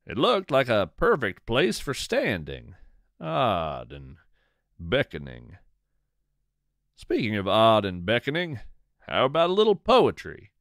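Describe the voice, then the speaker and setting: Slow, expressive man narrating a story. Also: medium pitch, dry recording, studio quality. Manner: measured, theatrical, whimsically formal delivery. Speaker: podcast host. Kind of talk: scripted first-person storytelling narration.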